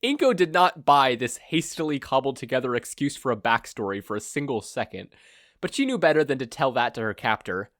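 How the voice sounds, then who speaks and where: A man's voice: medium pitch, very animated, fairly quick, fairly bright tone, dry recording. Dry, close male story narrator. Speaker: podfic narrator.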